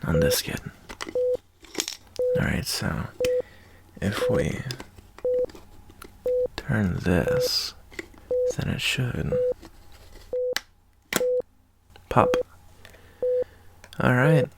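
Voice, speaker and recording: A man playing a caring, folksy wasteland doctor in an ASMR roleplay, talking in an unhurried, reassuring, slightly hesitant way. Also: mid-range voice, huge pitch swings, vocal fry, heavy background noise, voice pushed up, exaggerated voice. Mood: hushed, concentrating. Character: laid-back, fatherly wasteland doctor, gruff but caring adult man. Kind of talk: ASMR roleplay, softly acted monologue.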